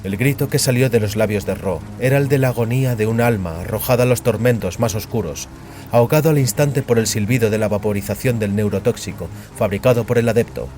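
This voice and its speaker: Low-pitched male narrator, solemn. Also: fast talker, dark tone, slight background noise. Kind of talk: dramatic scripted fiction narration.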